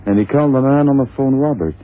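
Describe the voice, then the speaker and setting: An actor in a vintage radio drama playing an eager, easygoing detective's sidekick with a colloquial, folksy manner. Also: male voice, medium pitch, fast, lightly acted. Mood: obliging. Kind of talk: 1960s-70s radio drama acting. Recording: tinny, noisy old radio broadcast.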